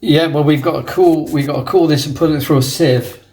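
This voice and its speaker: A man's voice: a little high, brisk, a little mellow. Chatty man cooking. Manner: chatty, jokey, narrates each step. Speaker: guest cook. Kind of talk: cooking demonstration chat.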